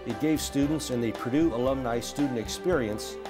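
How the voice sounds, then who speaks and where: A man's voice: medium pitch, fairly quick, loud music behind the voice. A man reading scripted narration as the narrator of a university newsreel.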